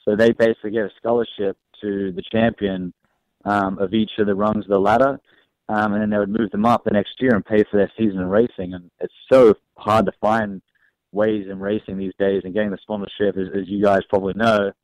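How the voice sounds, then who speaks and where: A young racing driver with an Australian accent worn down by years in America. His voice sits low and easygoing, full of fillers and quick laughs. The sound is dull and dry, as over a phone line. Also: little pitch movement, darkish tone, no room sound. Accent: mixed Australian and American. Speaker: race car driver guest. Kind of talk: phone-in radio interview.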